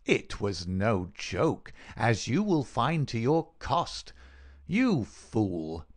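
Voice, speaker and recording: Guy doing a nasty gloating killer for an audiobook, sneering, dry rasp, pitch jumps all over. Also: sing-song, extremely slow, exaggerated voice. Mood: triumphant, venomous. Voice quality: dry, rasping. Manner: sneering, mock-solicitous. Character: gloating, cruel murderer taunting his dying victim. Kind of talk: dramatic audiobook character reading.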